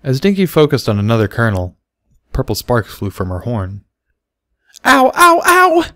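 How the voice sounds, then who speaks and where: A man's voice: on the low side, very animated, fairly quick, a little mellow, no room sound, studio quality. A man reading scripted story narration as an audiobook reader.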